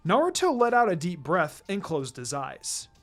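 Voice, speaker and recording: An expressive storyteller reads fan fiction aloud in a medium-pitched voice. The delivery moves up and down in lively fashion, with music playing behind the narration. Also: normal speed. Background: background music.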